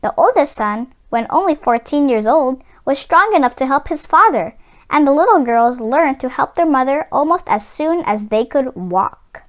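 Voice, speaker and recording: Female children's read-aloud narrator: very high register, highly animated melody, expressive and deliberate.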